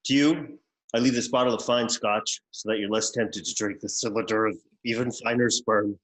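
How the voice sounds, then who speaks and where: A man playing a pompous, deadpan executor who reads out bequests in a comedy script table read. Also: fairly low pitch, normal speed, natural voice, poor audio. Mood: formal, solemn. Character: pompous male executor reading out an eccentric bequest.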